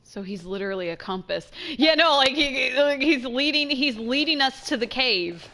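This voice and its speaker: A woman's voice: high voice, expressive, normal speed, sharp tone. A woman giving casual let's play commentary, thinking aloud in informal, slangy speech.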